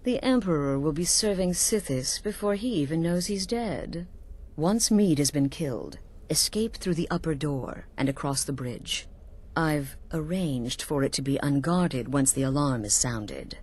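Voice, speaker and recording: An actress playing a cool, scheming assassin leader in a video game, measured, confident and conspiratorial. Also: female voice, low-pitched, expressive, a little mellow. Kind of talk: video game character dialogue.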